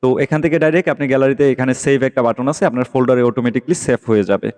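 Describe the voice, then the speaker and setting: Male tutorial host, casual and explanatory, mid-pitched, heavy reverb on the voice. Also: rapid-fire, a little mellow. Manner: explanatory, often checks listeners follow. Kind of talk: casual app tutorial voice-over. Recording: long reverberant echo.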